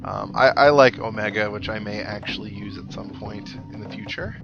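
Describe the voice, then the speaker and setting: A man's voice: fairly low pitch, flat delivery, moderate pace, vocal fry, lo-fi sound. A man giving casual video game playthrough commentary, musing aloud as he thinks through tactics. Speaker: let's player. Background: video game music.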